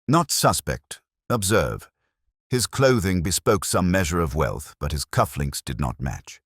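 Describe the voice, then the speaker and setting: A man voicing a shrewd, coolly analytical Victorian gentleman detective with precise, formal speech in an audiobook. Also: low-pitched, big pitch swings, unhurried, slightly rough, dry recording, clean studio sound, exaggerated voice. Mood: musing, analytical. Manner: precise, formal, musing deductions.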